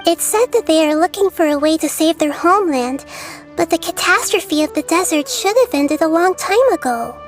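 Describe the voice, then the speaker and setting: A gentle, girlish goddess from a video game, soft and reassuring in manner. Her voice sits very high and light. Faint game music runs underneath. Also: animated, moderate pace. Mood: thoughtful. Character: small girlish goddess of wisdom, gentle and kind. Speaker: Lord of Dendro.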